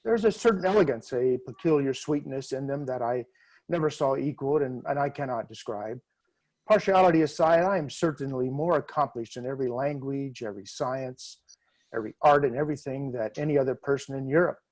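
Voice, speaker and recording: A man playing a pompous vain young gentleman. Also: medium pitch, a little fast, close to an everyday voice, rough recording. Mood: haughty. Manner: pompous and haughty, lingering on words. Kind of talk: dramatic reading over video call. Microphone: video call.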